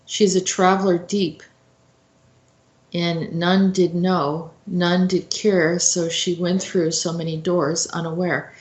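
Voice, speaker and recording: A woman giving an informal explanatory talk as a host, in a halting, reflective manner. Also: on the low side, level tone. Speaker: host commentator. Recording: roomy, echoey sound.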